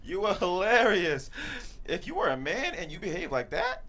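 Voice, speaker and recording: Bright male voice, man acting an excitable sidekick, blunt, hugely animated pitch, comedy table read, noisy room. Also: moderate pace. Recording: clearly noisy room sound.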